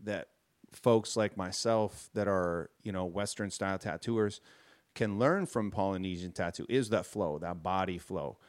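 Male host, low-ish voice, relaxed tattoo-shop interviewer. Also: a little slow. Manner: laid-back, calls people "man". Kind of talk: casual interview chat.

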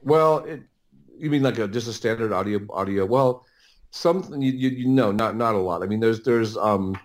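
Low-voiced man, halting and rambling. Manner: halting, rambling, repeats words and restarts often. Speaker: interview guest, audiobook producer. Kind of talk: casual conversational interview.

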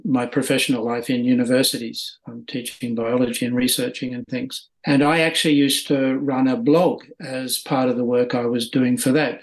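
A man's voice: medium pitch, low-quality recording. An older man with an Australian accent, a retired scientist talking plainly and modestly as a podcast guest. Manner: measured, plain-spoken, self-deprecating. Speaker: guest, wine data blogger. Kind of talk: casual interview talk.

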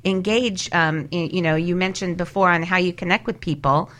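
A woman's voice: on the low side, normal speed, a bit bright. A woman giving casual advice as an expert guest in a panel discussion, chatty and fond of acting out little quotes. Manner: chatty, illustrates points with acted-out quotes.

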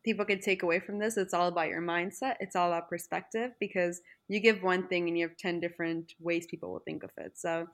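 A woman's voice: on the low side, steady tone, fast talker, hardly any pauses. A woman podcast host closing the episode, friendly and effusive in her thanks. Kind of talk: podcast wrap-up.

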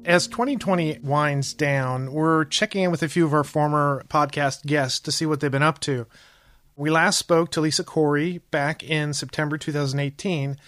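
A man's voice: on the high side, fairly even intonation, normal speed, fairly bright tone, dry recording. A man hosting a journalism podcast, asking rambling, hesitant questions full of fillers. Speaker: podcast host. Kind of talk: podcast interview.

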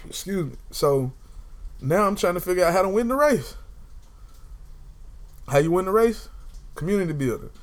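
A man's voice: sits high, lively intonation, normal speed, pauses often. A young man in casual conversation, talking emphatically and repeating phrases to drive a point home. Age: young adult.

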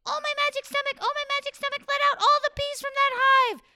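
A woman voicing a cocky little male rooster with a magic stomach, squealing and chanting in a high voice. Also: flat delivery, fast talker, pushed and bright, no room sound, exaggerated voice.

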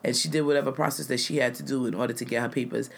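An American man in a relaxed talk show, speaking earnestly and pressing his points with growing force. His voice sits at an ordinary middle pitch for a man, neither deep nor high. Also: racing, vocal fry. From United States. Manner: earnest and emphatic, grows louder when making a point. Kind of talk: casual conversational chat.

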